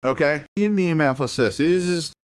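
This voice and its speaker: An actor playing a shady, evasive dealer in a crime radio drama, with a condescending, menacing manner. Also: male voice, on the high side, a little slow, clear, resonant tone, lightly acted. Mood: sly, menacing.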